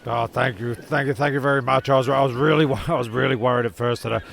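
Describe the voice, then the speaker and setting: A man's voice: mid-range voice, fairly even intonation, quick pace, creaky, a bit bright, slight background noise. A man playing a flustered, sweary narrator in a comedy audio drama, stammering and repeating himself. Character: flustered, rambling, sweary male narrator, easily exasperated.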